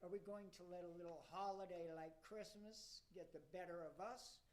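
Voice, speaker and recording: A man's voice: sits high, fairly even intonation, moderate pace, darkish tone, lightly acted. A man playing a commanding devil chairing a council in hell, grandiose and declamatory, in amateur rhymed radio theater. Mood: commanding, scornful. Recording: noticeable background noise.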